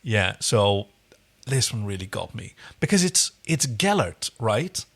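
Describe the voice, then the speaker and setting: A man's voice: lowish voice, sing-song, measured pace, gravelly, fairly bright tone. Casual, enthusiastic male podcaster. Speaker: podcast co-host. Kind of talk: casual chat recapping a TV episode.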